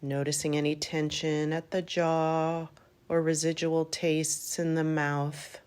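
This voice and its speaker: Female meditation guide: low register, very slow delivery, calm and gentle, roomy pauses between phrases. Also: smooth. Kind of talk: guided yoga nidra meditation.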